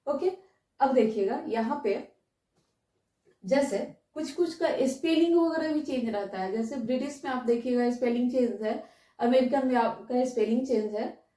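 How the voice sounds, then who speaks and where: This is an Indian woman teaching a class in a high but soft, mellow voice. She explains things to her students, repeats her key phrases and keeps checking in with rhetorical questions. She sounds some distance from the microphone in an echoey room. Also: normal speed. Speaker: English teacher giving a class. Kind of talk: spoken lesson, explaining to students. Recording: roomy echo, distant microphone.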